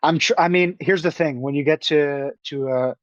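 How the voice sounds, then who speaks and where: Young man, director guest, halting delivery, dry dull recording. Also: fairly high pitch, dry recording. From New York. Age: young adult. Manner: halting, many restarts and fillers. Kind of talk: casual interview talk.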